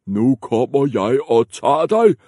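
A man voicing a menacing troll who guards a bridge, shouting his threats. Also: medium pitch, very animated, no room sound, studio quality, voice pushed up. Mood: bellowing.

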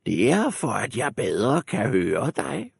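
A sly, hungry wolf from a fairy tale, as a male narrator puts him on, talking low and hushed with a gravelly edge. The voice sits deep and dry, close to the mic. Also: huge pitch swings, no echo, heavily acted. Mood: menacing.

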